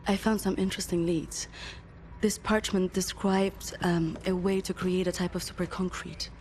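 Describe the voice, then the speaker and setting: An actress playing a composed resistance researcher in a game cutscene, speaking in a measured, inquisitive way. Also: female voice, lowish voice, little pitch movement, noisy background, lightly acted. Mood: focused.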